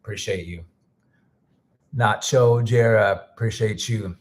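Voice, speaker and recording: A man hosting a casual music livestream, rambling loosely with many restarts and thanking viewers, a little hoarse as he starts losing his voice. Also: on the low side, measured pace. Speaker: music producer and livestream host.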